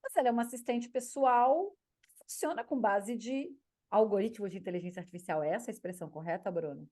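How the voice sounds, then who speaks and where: A woman hosting a podcast, chatting and asking her guest questions in a lively, animated way. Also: medium pitch, quick pace. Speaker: podcast host. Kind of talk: casual interview conversation.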